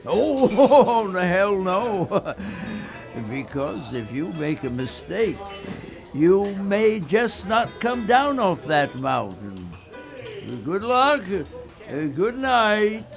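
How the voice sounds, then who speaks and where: An actor playing a cagey old local man who knows a legend, halting and folksy in a radio play. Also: high-pitched, big pitch swings, creaky, music in the background, exaggerated voice. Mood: ominous, amused.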